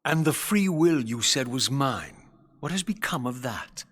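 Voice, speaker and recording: An actor playing an embittered undead wraith in a video game, defiant and sardonic, with biting formal diction. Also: male voice, mid-range voice, lively intonation, a little slow, fairly bright tone. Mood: bitter, accusing. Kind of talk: dramatic video game cutscene acting.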